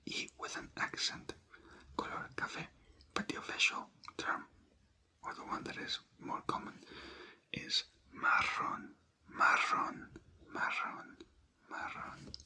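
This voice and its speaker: A language teacher giving an ASMR vocabulary lesson in a breathy whisper, repeating words and spelling them out letter by letter. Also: slow pace, long pauses, bright, forceful tone.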